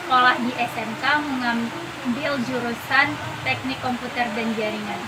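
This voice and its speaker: Young woman student, formal, off-mic, loud noise. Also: a little high, steady tone, moderate pace, recorded from a distance. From Padang, Indonesia. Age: young adult. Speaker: first-semester student. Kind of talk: testimonial interview.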